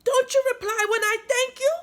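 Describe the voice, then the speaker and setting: A woman voicing a quick-tempered, demanding female fairy in a storybook. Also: very high-pitched, fairly even intonation, fairly bright tone, voice pushed up. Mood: angry, threatening. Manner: exclaiming, demanding.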